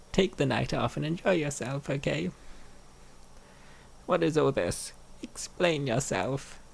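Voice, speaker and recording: A man's voice: fairly high pitch, vocal fry, a little mellow, a little hiss or hum. A man performing an acted reading of comic dialogue, voicing the characters as a dub actor.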